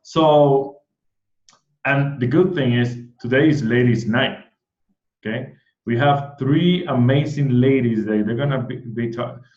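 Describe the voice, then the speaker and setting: A man from Puerto Rico with a Puerto Rican Spanish accent, Spanish his first language, hosting an online event in an eager, excited way, often repeating words and restarting. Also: mid-range voice, unhurried, echoey room, highs cut off. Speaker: host.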